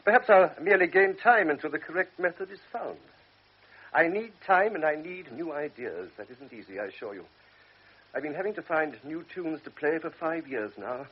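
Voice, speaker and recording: A man's voice: on the high side, animated, normal speed, slightly rough, muffled recording. A man playing a blunt, cynical middle-aged doctor in a radio drama, talking in a brusque, lecturing, world-weary way.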